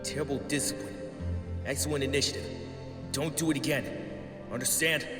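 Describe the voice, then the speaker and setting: A man plays an older male turtle ninja master in a comic dub. He is stern and commanding, in terse, clipped phrases. Music is clearly audible behind him. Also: medium pitch, fairly even intonation, fairly quick, echoey room, in a large hall, lightly acted. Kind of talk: comic dub dramatic dialogue.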